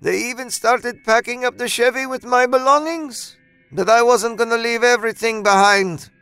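A guilt-ridden old grandma played by a male narrator, hot-tempered and dramatic. The voice sits high and pleading, over background music in a horror storybook reading. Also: fairly even intonation, normal speed, a bit bright, clean tone, no room sound. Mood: indignant.

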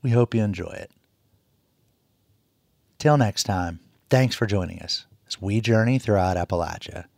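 A man's voice: fairly low pitch, moderate pace, gravelly, pauses often, darkish tone. A man hosting a radio show, reading scripted introductions and credits.

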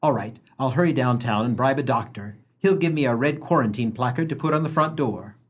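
A sardonic small-town husband played by a man in a radio comedy. His mid-pitched voice is husky, and he grumbles in wry, wordy turns of phrase. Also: a little fast, natural voice. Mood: agreeable. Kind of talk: comic radio play acting.

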